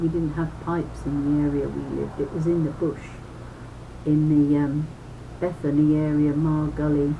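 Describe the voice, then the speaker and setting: An older woman reading a children's booklet aloud in a deliberate, instructive way, with chatty personal asides. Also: warm tone. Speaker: reader of a children's health booklet. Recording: noisy home recording with low rumble.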